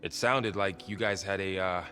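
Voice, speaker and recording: A man's voice: deep voice, normal speed, pushed and bright, long reverb tail, faint music behind. A man playing a concerned, tactful companion in a science-fiction adventure video game. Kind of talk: video game cutscene acting.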